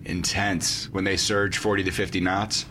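Casting: blunt sci-fi commander, lowish pitch, practical delivery, noisy industrial setting. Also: male voice, little pitch movement, moderate pace. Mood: matter-of-fact. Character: blunt, gruff male commander of deep-sea base. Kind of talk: sci-fi audio drama acting. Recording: loud rumbling industrial ambience.

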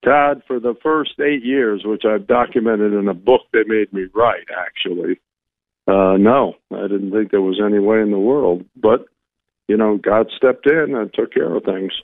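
A man's voice: lowish voice, moderate pace, dry recording. An older man speaking casually as an interview guest over a telephone line. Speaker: interview guest, organization founder. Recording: muffled, band-limited phone line.

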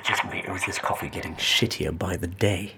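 Low-voiced, impatient surveillance officer. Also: male voice, fairly even intonation, moderate pace, gravelly, sharp tone, a little hiss or hum, full character voice. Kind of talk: audio drama dialogue.

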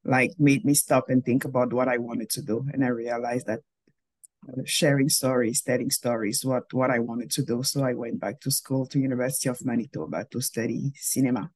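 A woman's voice: rumbling low, steady tone, darkish tone, no room sound, poor audio. Woman from Cameroon on a video call. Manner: thoughtful, pauses and rephrases mid-sentence. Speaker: filmmaker guest. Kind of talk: casual interview answers.

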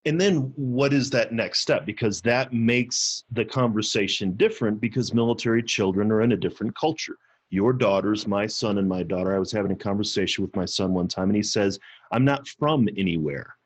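Middle-aged guy from missouri, american accent, bit low and husky, serious thoughtful interview chat. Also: a little fast. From Missouri, United States.

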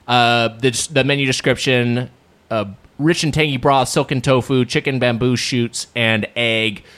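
A man's voice: medium pitch, steady tone, measured pace, a bit bright, smooth. A man in his late thirties with an American accent, co-hosting a casual comedic food chat in a wordy, detailed, descriptive way. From Long Beach, California, United States. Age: late 30s. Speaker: podcast co-host.

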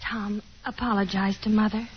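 An actress playing a shy, gentle young woman who pleads softly in a 1950s radio drama. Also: medium pitch, flat delivery, moderate pace, a crowd in the background, close to an everyday voice. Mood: gently pleading. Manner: timid, pleading. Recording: dull, hissy old radio broadcast.

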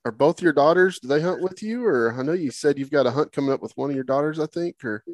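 A man's voice: a little high, expressive, fast, a little mellow, no echo. A man hosting a casual interview show, chatting in an informal, folksy way. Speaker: show host.

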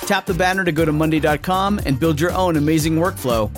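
A man's voice: fairly high pitch, fast, over music. A man reading a scripted ad in a friendly, upbeat way. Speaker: ad announcer. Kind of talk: scripted ad read.

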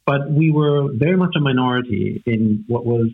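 Man from austria, german native, gravelly, husky, hesitant with fillers, tinny phone-like line. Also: mid-range voice, normal speed, slight background noise. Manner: hesitant, many fillers and "right?" tags. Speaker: guest. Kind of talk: reflective conversation.

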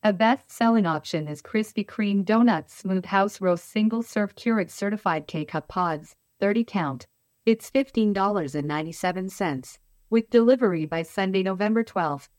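A synthetic female voice assistant reads out product details in an even, announcing tone. She plays through a smart speaker and is heard from across the room. The pitch sits in the middle range. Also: moderate pace, no room sound, studio quality.

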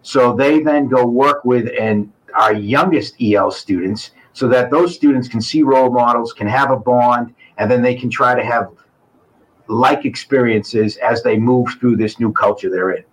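A man's voice: mid-range voice, moderate pace, breathy edge, poor audio. A man, an assistant superintendent, explaining school programs earnestly as an interview guest, listing points one after another.

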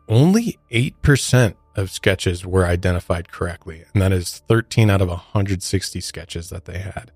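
A low male voice, recorded dry with very little room sound. He co-hosts a podcast, mixing conversational true-crime commentary with prepared facts. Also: darkish tone, no echo. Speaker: podcast co-host.

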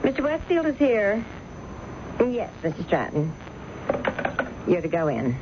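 An actress playing a brisk office secretary in an old-time radio drama. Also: female voice, medium pitch, big pitch swings. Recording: muffled, very noisy old radio broadcast.

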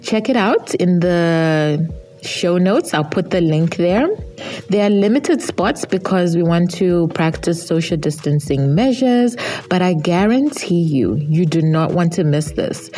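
A woman's voice: lowish voice, mellow. A woman in her thirties from Zambia, hosting a podcast in an upbeat, chatty way and laughing easily. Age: 30s. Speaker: podcast host. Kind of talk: podcast intro and interview.

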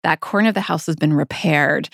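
A woman with a slightly low, gravelly voice co-hosts a podcast. She talks casually and reflectively, telling her stories earnestly. The sound is very dry, with no room on it. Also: brisk, a bit bright, no room sound. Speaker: podcast co-host.

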